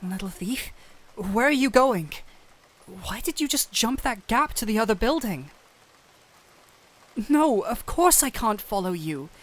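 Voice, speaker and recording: Slow-spoken gentle, anxious doctor, acted by a woman. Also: a little high, lively intonation. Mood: startled. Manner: earnest, hesitant, pleading with broken phrases. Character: gentle, anxious human doctor pleading with a small cat-thief. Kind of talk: dramatic audio roleplay addressed to the listener. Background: rain ambience.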